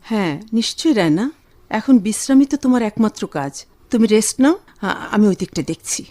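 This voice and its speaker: A woman's voice: mid-range voice, animated, brisk, mellow, close to an everyday voice. A woman acting a bustling, fussing, reassuring elder sister in a Bengali radio drama.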